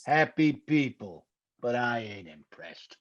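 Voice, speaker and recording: A man playing a gruff, slangy, grudging skeptic in a 1950s radio comedy style. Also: mid-range voice, big pitch swings, slow pace, a bit bright, dull audio. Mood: dismissive. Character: gruff, skeptical grown man who doubts Santa.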